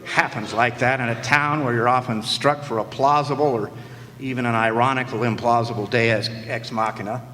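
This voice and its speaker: A man's voice: mid-range voice, moderate pace, natural voice. Man acting sardonic noir private eye, echoey hall. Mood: wry, deadpan. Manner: wry, wordy, deadpan. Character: sardonic hard-boiled noir private eye, male, world-weary. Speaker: actor narrating and playing lead in a radio play. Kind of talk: film noir radio detective acting. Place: live performance in a hall. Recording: echoey hall stage, faint noise.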